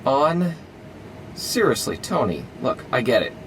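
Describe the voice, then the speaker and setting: A man's voice: medium pitch, sing-song, a little slow, recorded from a distance, a little hiss or hum. An actor playing a mild-mannered, hesitant male scientist hero. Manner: hesitant, earnest.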